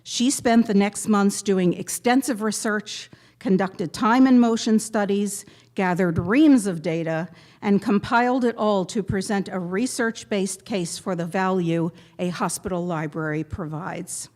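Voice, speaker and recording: A woman giving a prepared memorial tribute to a gathering, speaking in measured, deliberate phrases with pointed pauses. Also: medium pitch, darkish tone. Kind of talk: prepared eulogy read aloud to a gathering.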